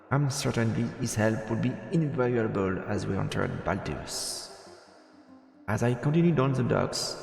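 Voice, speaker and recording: Male let's play host narrating a visual novel, unhurried, in a reverberant room with music underneath. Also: on the low side, creaky. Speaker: game streamer and host. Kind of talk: live let's play, reading visual novel text aloud with casual asides. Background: game music.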